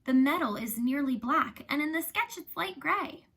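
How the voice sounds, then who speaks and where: A woman's voice: sits high, animated, normal speed, vocal fry, fairly bright tone, pitched above the performer's own voice, exaggerated voice. A woman voicing a curious, joking, easily deflated young schoolboy with a light, questioning manner in a storybook reading.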